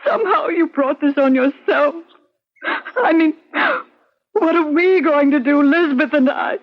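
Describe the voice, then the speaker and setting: A woman's voice: sits high, a little slow. A woman acting a frightened, indignant middle-aged wife in an old-time radio drama, flustered, stammering and prim. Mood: distraught. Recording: muffled old radio recording.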